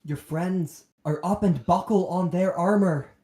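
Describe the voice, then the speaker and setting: A young performer playing a loyal soldier attendant in a Shakespearean radio play, earnest and deliberate. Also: medium pitch, warm tone, natural voice. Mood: earnest, reassuring. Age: young adult. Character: Ratcliffe, loyal soldier attendant steadying an anxious king. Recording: echoey room.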